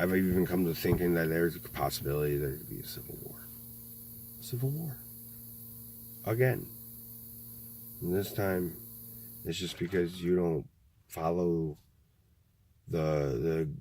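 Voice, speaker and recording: A man in his thirties in a rambling political monologue, halting, full of fillers and frequent swearing. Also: sits low, darkish tone. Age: 30s. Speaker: video blogger. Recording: faint mains hum.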